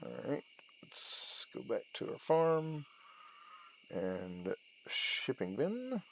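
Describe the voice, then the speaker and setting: A man's voice: mid-range voice, huge pitch swings, drawn-out words, creaky, background noise. A man giving casual commentary as he plays a video game, narrating his own actions in short clipped phrases. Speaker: gaming video host.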